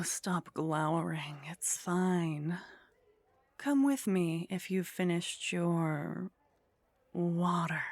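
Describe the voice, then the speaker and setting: A woman playing a haughty, flirtatious vampire in an intimate ASMR roleplay, speaking softly and close to the microphone with a teasing, condescending manner that often drops toward a whisper. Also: fairly low pitch. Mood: taunting, amused, then businesslike, wry. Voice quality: soft, breathy.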